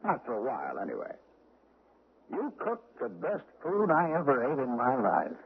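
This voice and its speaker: A man's voice: medium pitch, huge pitch swings, normal speed, gravelly. An actor playing a menacing, sly American gunman who toys with people in a sardonic, mock-casual way, in 1970s radio drama style. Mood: pleased, teasing. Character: menacing, sly gunman toying with his captive. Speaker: actor in a radio mystery play. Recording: dull, noisy old radio broadcast recording.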